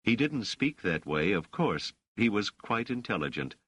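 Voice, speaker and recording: A man acts out a self-assured woman ruler of middle age in a novel reading. The pitch is lifted well above a usual male voice and moves expressively. The manner is gracious, poised and used to command. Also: very animated, a bit bright, slightly rough, no echo, full character voice. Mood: wistful. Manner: poised, formal, measured phrasing.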